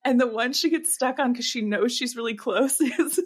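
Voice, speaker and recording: A woman with a fairly high voice co-hosts a casual comedy podcast. She talks in a jokey, colloquial way and is quick to giggle. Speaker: podcast co-host.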